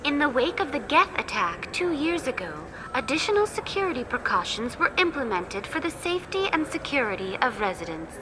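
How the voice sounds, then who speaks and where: A woman's voice: high voice, very animated, fairly quick, hardly any pauses. An actress voicing a polite female virtual guide in a video game, giving information in a formal, precise way. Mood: informative. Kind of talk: scripted public announcement.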